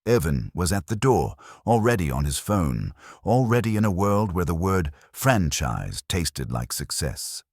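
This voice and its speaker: A man narrating a scripted first-person detective story in a dry, wry, measured way. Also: sits low, very animated, dry recording. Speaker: audiobook narrator.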